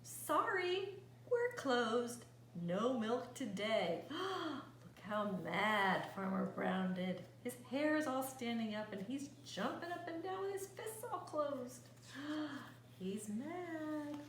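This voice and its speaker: A woman's voice: high-pitched, expressive, slow pace. A woman reading a picture book aloud to young children, with gasps, exclamations and little asides explaining words. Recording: roomy echo, faint background noise.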